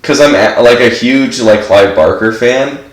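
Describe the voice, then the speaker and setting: A man's voice: medium pitch, measured pace, reverberant. A man giving casual gameplay commentary while stuffed up from allergies, rambling and filling his speech with "like". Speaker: game streamer.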